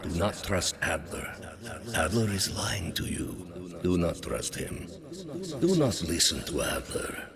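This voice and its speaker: Conspiratorial Soviet spymaster, deep voice, menacing, measured, game villain, crowd noise behind. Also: male voice. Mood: hushed, warning. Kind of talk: cinematic game villain speech.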